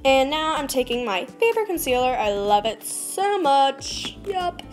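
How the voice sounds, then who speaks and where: A teenage girl with a slightly scratchy, fairly high voice talks through her makeup routine in a small bedroom. She rambles, jokes at her own expense and lets her words trail off into mumbles. The built-in camera mic sits at arm's length, with faint music in the background. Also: very animated, unhurried. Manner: rambling, self-mocking, drifts to a mumble. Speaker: beauty vlogger. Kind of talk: casual makeup tutorial chat. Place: small bedroom by a curtained window.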